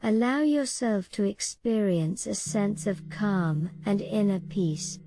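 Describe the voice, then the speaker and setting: A woman reading a slow guided meditation in a gentle, soothing, measured way. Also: medium pitch. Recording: voice over clearly audible music. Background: gentle relaxation music.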